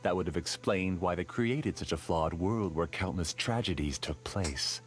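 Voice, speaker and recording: A man's voice: deep voice, moderate pace, close to an everyday voice. A man voicing a bitter, scheming male dream master in a video game, brooding and defiant. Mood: scornful.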